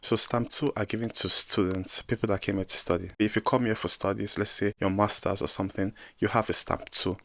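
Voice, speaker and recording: A man in his twenties with a Nigerian accent, giving emphatic, animated advice in a casual vlog. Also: lowish voice, fairly quick, creaky, hardly any pauses, no echo. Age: 20s. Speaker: vlogger giving advice to newcomers. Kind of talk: casual direct-to-camera vlog.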